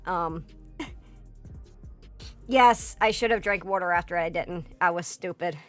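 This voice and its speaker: A hoarse woman streamer in a casual livestream chat, rambling with lots of fillers and swearing. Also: lowish voice, a little slow. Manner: rambling, filler-heavy and profane, breaks into laughter. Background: faint background music.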